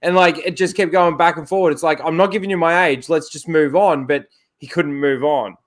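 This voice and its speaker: A man hosting a casual panel chat, retelling an exchange in an animated, indignant way. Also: fairly high pitch, brisk. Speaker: host.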